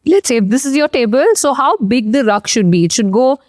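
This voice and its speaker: A woman's voice: a little high, animated, a little fast, darkish tone, no echo, clean studio sound. A woman hosting a conversational interview, asking inquisitive follow-up questions. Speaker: interview host.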